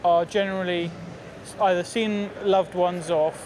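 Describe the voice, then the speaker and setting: A man's voice: high-pitched, steady tone, unhurried, a little mellow. A man working as a police officer, chatting bluntly and jokily on patrol. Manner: jokey, blunt. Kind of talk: casual chat on patrol.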